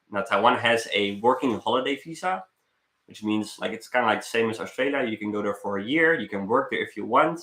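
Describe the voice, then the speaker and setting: Bright, low-voiced young man in a roomy space. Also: gravelly. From Netherlands. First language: Dutch. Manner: rambling, halting, repeats words. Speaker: live streamer, travel vlogger. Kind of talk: casual live stream chat. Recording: roomy echo.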